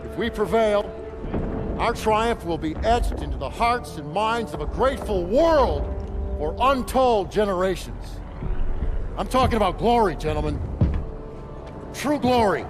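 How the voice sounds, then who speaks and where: A grandiose colonel addresses his soldiers in a war game. His voice is pitched well up, declamatory and pompous, with clear music behind it. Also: lively intonation, a little slow, long pauses. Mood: grand, stirring. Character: grandiose army colonel rallying his men before battle. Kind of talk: military pep-talk speech.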